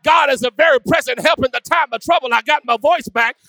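High-voiced preacher man, gravelly and bright, goes quiet then hollers at the church. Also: a little fast, no room sound, faint music behind. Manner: preaching with call-and-response, swinging from hushed to shouting. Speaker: pastor. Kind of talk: sermon.

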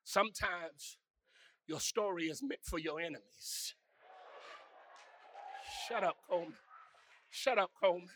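A pastor preaching a sermon with call-and-response, swinging from hushed musing to shouted, sung climaxes. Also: male voice, high voice, a little slow, pauses often, no room sound. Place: church.

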